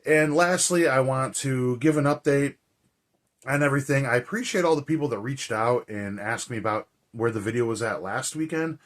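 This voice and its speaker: Hoarse man rambling about movies. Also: mid-range voice, normal speed. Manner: rambling, friendly, lots of fillers. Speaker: movie vlogger. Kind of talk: casual chat.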